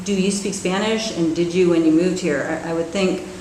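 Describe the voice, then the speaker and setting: Low-voiced woman interviewer, echoey. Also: fairly even intonation, moderate pace, dark tone, slight background noise. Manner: hesitant, questioning, many fillers. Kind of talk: interview questions. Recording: echoey room, faint ambience.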